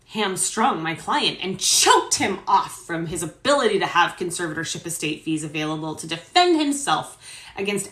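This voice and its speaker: Indignant attorney, acted by a woman, formal dramatic-reading delivery, very animated pitch, roomy sound. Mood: indignant, defiant. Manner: formal, emphatic, self-righteous. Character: indignant, emphatic male defense attorney arguing in court. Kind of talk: dramatic reading of court argument. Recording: roomy echo.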